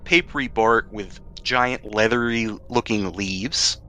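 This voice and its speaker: A man's voice: on the low side, slow pace, sharp tone, a little hiss or hum. A man improvising narration as the game master of a tabletop role-playing session.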